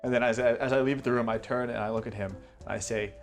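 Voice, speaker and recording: A man's voice: mid-range voice, fairly even intonation, quick pace, faint music behind. A man speaking casually as a player in a tabletop role-playing game, describing his character's actions.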